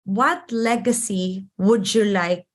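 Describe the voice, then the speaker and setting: A woman in her sixties, a podcast guest, speaking warmly and admiringly with many restarts. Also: mid-range voice. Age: 60s. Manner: warm, admiring, halting with fillers. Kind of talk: casual podcast conversation.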